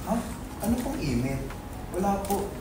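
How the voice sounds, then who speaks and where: A man's voice: high voice, sing-song, normal speed. A man playing a harried, stubbornly honest website writer in a dramatic reading, plain-spoken and polite but resistant. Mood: flustered, polite. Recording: very echoey, distant, heavy noise.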